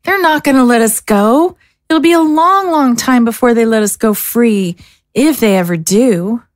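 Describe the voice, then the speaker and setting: A woman's voice: a little high, measured pace, no echo, clean studio sound, close to an everyday voice. A woman playing a guarded, disillusioned young woman in an audio drama, speaking in a hesitant, downbeat way. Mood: resigned. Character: guarded, disillusioned young woman, modest former militia member.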